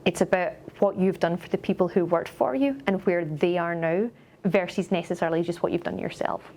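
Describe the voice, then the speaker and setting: A woman's voice: fairly low pitch, a little fast, darkish tone. A middle-aged woman with a Scottish accent, an upbeat and articulate company executive answering questions in a corporate interview.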